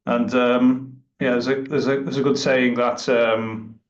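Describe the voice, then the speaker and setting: Man, British accent, hesitant presenter, muffled dull audio, mid pitch. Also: level tone, unhurried. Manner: hesitant, many fillers and restarts. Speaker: presenting developer. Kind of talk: meetup presentation over video call. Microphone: video call. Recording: distant, roomy, dull sound.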